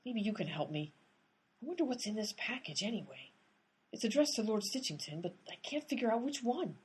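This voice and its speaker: A woman's voice: fairly high pitch, very animated, fairly quick, darkish tone, breathy edge, exaggerated voice. A woman playing an exasperated, blunt, tough-talking detective in a comedic radio play.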